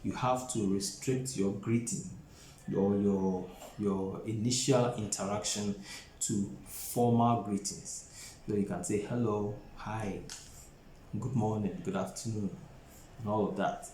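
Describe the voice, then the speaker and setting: A man in his thirties lecturing as an exam preparation tutor, speaking deliberately and repeating phrases for emphasis. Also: lowish voice, steady tone, dark tone. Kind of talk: instructional lecture to camera. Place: small plain indoor office. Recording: roomy echo, faint background noise.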